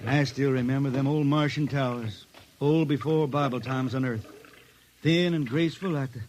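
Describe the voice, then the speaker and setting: A man's voice: medium pitch, darkish tone, slight background noise, natural voice, low-quality recording. An actor playing a wistful, folksy spaceman poet from the hills with a Southern country accent, in a vintage radio drama. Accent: Southern US hill country. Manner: folksy, halting, dreamy. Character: wistful blind spaceman poet, Ozark country-bred drifter. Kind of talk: old-time radio drama acting.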